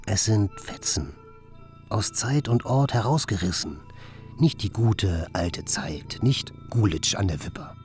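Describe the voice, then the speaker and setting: An actor in a radio play playing an effusive, restless, romantic writer who speaks in a lyrical, declamatory way. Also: male voice, lowish voice, lively intonation, measured pace, a little mellow, soft background music. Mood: earnest, elated. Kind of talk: German radio play acting.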